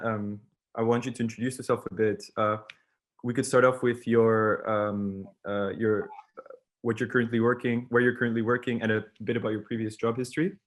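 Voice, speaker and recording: A man's voice: mid-range voice, level tone, moderate pace, fairly bright tone. A young man hosting a university online event and interviewing a guest, speaking hesitantly with many fillers. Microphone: video call.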